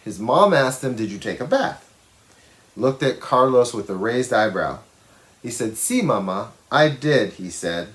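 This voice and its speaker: Animated man reading aloud to children. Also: medium pitch. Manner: expressive, explains pictures and checks in with listeners. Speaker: educator reading a picture book to schoolchildren. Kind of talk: read-aloud storytelling with asides and questions to young listeners.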